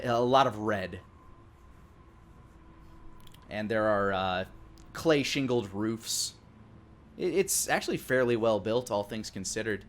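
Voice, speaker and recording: A man narrating a tabletop game as dungeon master, improvising and pausing often to search for words. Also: on the low side, slight background noise.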